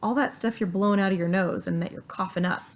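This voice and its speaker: A woman's voice: medium pitch, steady tone, fast talker. Coaxing middle-aged woman doctor. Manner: coaxing, asks leading questions. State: unwell. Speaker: doctor and host. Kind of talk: casual health video with her child.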